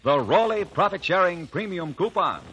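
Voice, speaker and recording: A man's voice: high voice, animated, moderate pace, over loud music. An American man reading a scripted 1950s radio advertisement as announcer. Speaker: commercial announcer.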